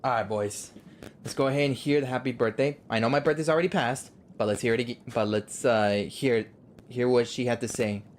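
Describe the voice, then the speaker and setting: A man's voice: medium pitch, a little fast, a little hiss or hum. A young man with an enthusiastic, easily delighted manner, giving casual live commentary as he plays a game.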